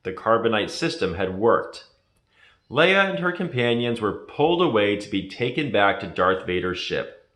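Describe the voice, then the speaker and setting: A man reading a children's story aloud as a scripted narration, in a dramatic, deliberate manner. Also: fairly low pitch, big pitch swings, fairly bright tone. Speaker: storybook reader. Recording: echoey room.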